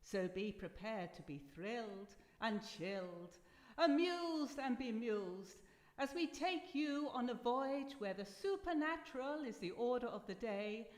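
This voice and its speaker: A woman's voice: on the high side, animated, a little slow. A woman playing an effusive, genteel old-time radio announcer, presenting in a grandly formal, arch and theatrical manner. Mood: teasing mystery. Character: effusive 1930s-style wireless announcer hostess, theatrical and genteel. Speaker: radio show host. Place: live performance in a university hall. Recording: echoey hall with long reverb.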